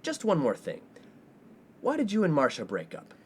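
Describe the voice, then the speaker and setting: A man's voice: fairly high pitch, wildly swooping pitch, moderate pace, breathy edge, exaggerated voice. An actor playing a pompous, boastful young college man who fancies himself a ladies' man in a comic radio play. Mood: breezy. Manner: pompous, boastful, theatrical delivery. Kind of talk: 1950s-set comedy radio play acting.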